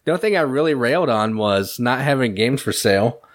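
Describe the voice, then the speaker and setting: A man's voice: medium pitch, lively intonation, fairly quick. A man hosting a podcast, chatting casually with laid-back, joking grumbles. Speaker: podcast host. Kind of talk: casual chat.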